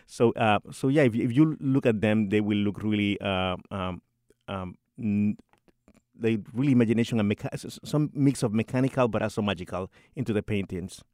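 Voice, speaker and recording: A man's voice: on the low side, fairly quick, darkish tone, no echo, studio quality. A middle-aged man from Rico, a composer and church organist talking in a radio interview, hesitant and full of restarts and repeated words. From Puerto Rico.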